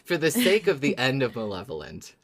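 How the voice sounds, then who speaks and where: Male co-host, medium pitch, casual fan-discussion tone, dry humor, halting repeated phrase starts. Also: wildly swooping pitch, a bit bright. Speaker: podcast co-host.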